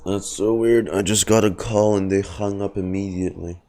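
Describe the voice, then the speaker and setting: A man's voice: fairly low pitch, fairly even intonation, moderate pace, warm tone. A man streaming a game, reacting casually and musing aloud to himself, with faint game music behind him. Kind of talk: casual live playthrough reaction.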